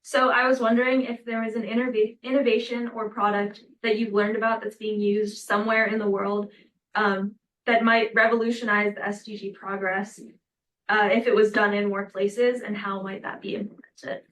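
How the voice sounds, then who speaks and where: A woman's voice: mid-range voice, little pitch movement, normal speed, a bit bright, recorded from a distance, rough recording. A young woman in the audience politely asking a speaker a prepared question.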